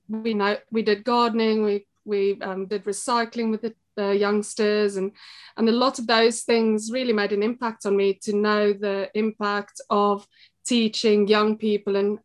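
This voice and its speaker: Podcast guest: middle-aged South African woman, monotone delivery, reflective and halting. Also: mid-range voice. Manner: thoughtful, halting with fillers and restarts. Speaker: guest, shop co-owner. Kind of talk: casual interview chat.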